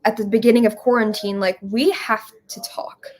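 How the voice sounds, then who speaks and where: A woman's voice: medium pitch, fairly even intonation, moderate pace. A young woman telling a story casually as an interview guest, acting out little bits of dialogue. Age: young adult. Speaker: interview guest, musical writer.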